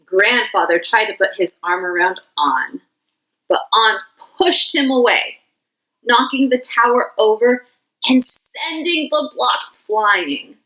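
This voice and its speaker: A woman teacher reading a children's picture book aloud in an expressive way. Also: on the high side, rough recording. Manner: slow, expressive. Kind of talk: children's storybook read-aloud.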